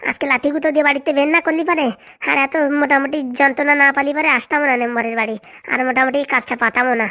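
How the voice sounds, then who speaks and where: A woman's voice: high-pitched, fairly even intonation, racing, sharp tone. A woman voicing an eager, fawning young male henchman in a comedy cartoon, chattering boastfully with a rural Bengali accent. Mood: excited, chatty.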